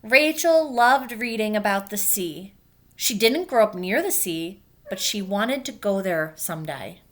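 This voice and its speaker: A woman teacher reading a children's book aloud and pausing to explain it in simple words for young pupils. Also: mid-range voice, animated.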